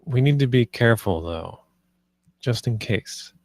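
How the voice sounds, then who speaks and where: A man's voice: medium pitch, expressive, unhurried, darkish tone, no echo. Hesitant British husband, read by a man, very dry sound. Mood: cautious. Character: nervous, earnest husband proposing swinging to his wife. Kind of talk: film script table read, naturalistic dialogue.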